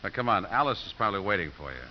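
Gruff, fatherly American coach played by an actor, low voice with expressive swings, hissy vintage radio drama. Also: very fast, natural voice. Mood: gentle firmness. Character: tough, tender, plain-spoken football coach and father. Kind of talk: 1950s radio drama acting. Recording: hissy muffled 1950s radio recording.

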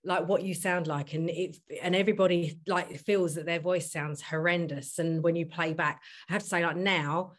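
A middle-aged woman hosting a podcast, chatty and self-deprecating, sounding a little husky today. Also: fairly low pitch, fairly even intonation, moderate pace, hardly any pauses. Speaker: podcast host. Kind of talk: podcast intro and casual chat.

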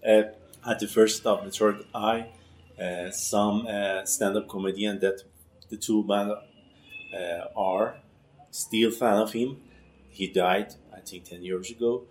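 A man in his thirties from Iran, a musician guest speaking halting non-native English with many restarts in a casual interview chat, his first language Persian. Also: on the low side, steady tone, reverberant, slight background noise. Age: 30s.

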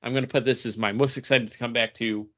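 A man with a bright, forward voice talks casually as a podcast host. He rambles along in a jokey way. The sound is a little muffled and lo-fi. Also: mid-range voice, steady tone, fast talker.

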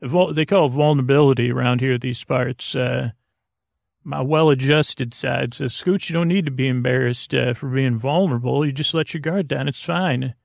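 Creaky, mumbling American man rambling. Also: medium pitch, no echo, clean studio sound. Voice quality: gravelly, creaky. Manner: meandering, halting, trails off mid-thought. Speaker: podcast host. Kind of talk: rambling bedtime podcast monologue. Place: small closet, very dry sound.

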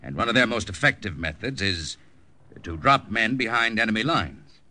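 An actor playing a grave, authoritative officer type in a 1950s radio drama. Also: male voice, lowish voice, animated, normal speed. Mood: measured, confidential. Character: grave, authoritative army captain and dramatic announcer. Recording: bright old radio recording, faint music.

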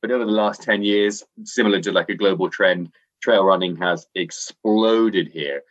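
A man's voice: sits low, normal speed, fairly bright tone, dull audio. A man from Scotland with a faintly English-sounding accent, chatting enthusiastically as an interview guest over an internet call. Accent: English-sounding Scottish. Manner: chatty, enthusiastic, frequent fillers. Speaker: interview guest, sports editor.

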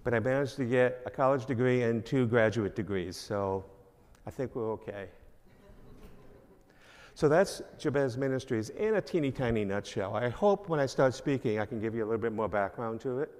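A man's voice: medium pitch, lively intonation, normal speed, a little mellow. Older New York man in echoey church. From New York, Long Island. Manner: rambling, filler-heavy, self-deprecating. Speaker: guest speaker, minister. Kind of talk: informal church address. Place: church sanctuary. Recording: long reverb of a church hall.

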